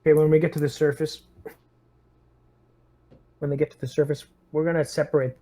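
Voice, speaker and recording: A man's voice: fairly high pitch, flat delivery, a little fast, mellow. A man playing a tabletop roleplaying game, talking casually with the group about what to do.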